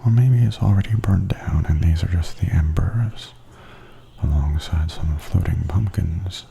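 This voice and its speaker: Male ASMR guide, slow and very mellow, breathy half-whisper, dry understated wit, recorded over background ambient noise. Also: sits low. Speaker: ASMR host and tour guide. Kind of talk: softly spoken ASMR commentary. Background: theme park haunted attraction ambience.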